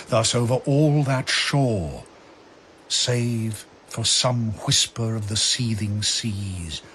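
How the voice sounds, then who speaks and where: Deep, slow male dramatic narrator. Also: a bit bright, slight background noise. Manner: grave, theatrical, declamatory. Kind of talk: dramatic narration of epic verse.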